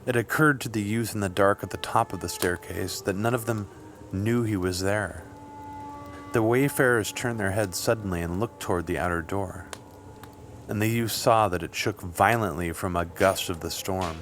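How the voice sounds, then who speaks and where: A man reading scripted literary fiction as an audiobook narrator, with measured, deliberate phrasing. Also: lowish voice, a bit bright. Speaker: audiobook reader. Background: music.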